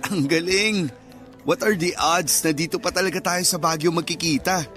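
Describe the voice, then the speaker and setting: Guy playing friendly filipino male nurse meeting his old sweetheart, radio drama, kinda high voice, soft music behind. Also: moderate pace, lightly acted. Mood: friendly, relaxed.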